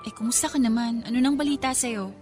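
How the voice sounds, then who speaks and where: A woman's voice: on the high side, fairly even intonation, fast, a little mellow, faint music behind, natural voice. A woman playing a protective, outspoken young best friend, emphatic and scolding, with a Filipino accent in a Tagalog radio drama. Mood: concerned.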